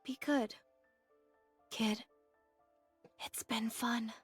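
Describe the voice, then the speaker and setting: A woman voicing a tender, breathy older-sister figure in a dramatic anime game scene. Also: a little high, fairly even intonation, extremely slow, no room sound, soft background music, heavily acted. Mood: gentle farewell. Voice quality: husky, breathy.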